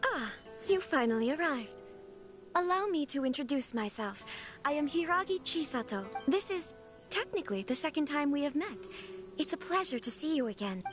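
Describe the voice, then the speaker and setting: High-voiced, gracious young noblewoman. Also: sing-song, moderate pace. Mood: shy, gracious. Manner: polite, formal, slightly hesitant. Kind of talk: video game character acting. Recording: game music behind.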